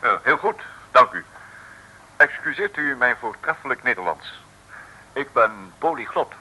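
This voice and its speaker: An actor playing a pompous, politely sinister professor in an old radio serial, speaking formally with many hesitant ehs. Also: male voice, medium pitch, animated, unhurried, pushed and bright, full character voice, rough recording. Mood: formal, self-important.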